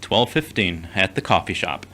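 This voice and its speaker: A man's voice: low voice, lively intonation, moderate pace, sharp tone, a little hiss or hum. A man playing a conflicted, earnest soul who wrestles with God and himself in an audio drama. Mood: somber, earnest. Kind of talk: scripted audio drama acting.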